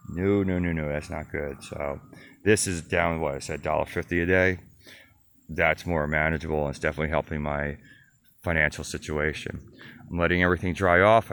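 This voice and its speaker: Low-voiced American man, rambling reflectively. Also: a bit bright. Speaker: solo bike-tour vlogger. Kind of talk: casual rambling video diary. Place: outdoors at a grassy hilltop campsite.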